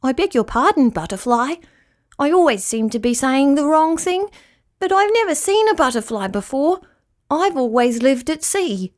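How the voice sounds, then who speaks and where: A woman's voice: sits high, very animated, normal speed, pitched above the performer's own voice. A woman voicing a polite, apologetic sea rat in a children's storybook, earnest and easily puzzled.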